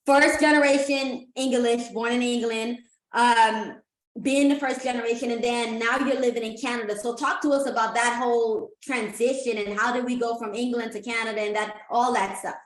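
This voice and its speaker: A woman's voice: fairly high pitch, steady tone, normal speed. A woman hosting an interview, chatty and enthusiastic as she asks her questions. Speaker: host. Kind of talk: casual interview. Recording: roomy echo.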